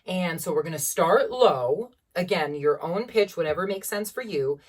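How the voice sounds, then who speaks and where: A young woman with a generally low voice, teaching a beginner singing lesson from a keyboard at home and encouraging students as she explains. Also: animated, moderate pace, distant mic. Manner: encouraging, demonstrates vocal sounds between explanations. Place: small room at home, seated at a keyboard.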